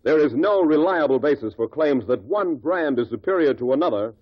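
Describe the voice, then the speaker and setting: Husky, higher-voiced radio ad man. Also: lively intonation, brisk. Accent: American. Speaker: commercial announcer. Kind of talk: punchy 1950s radio ad read. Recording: dull lo-fi 1950s radio recording.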